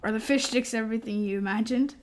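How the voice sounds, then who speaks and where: A female performer playing a frank, curious twelve-year-old kid in a naturalistic comedy radio play, gently teasing and deadpan. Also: a little high, quick pace, natural voice.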